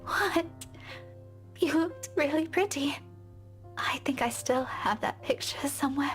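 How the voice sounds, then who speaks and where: A woman's voice: high-pitched, measured pace, soft background music. An actress playing a frightened young woman who is tender toward her childhood friend, in a visual novel game. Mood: tender, resigned.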